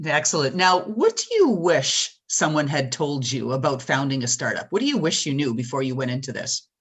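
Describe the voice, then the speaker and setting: Low husky Canadian woman host. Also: sing-song, moderate pace. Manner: upbeat, enthusiastic questioning. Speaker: podcast host. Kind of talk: interview hosting.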